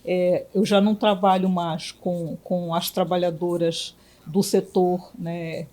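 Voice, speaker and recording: A woman from Belém, a physician and union director, answering interview questions in a reflective way with many pauses and restarts. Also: fairly low pitch, level tone, dark tone. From Belém, Brazil.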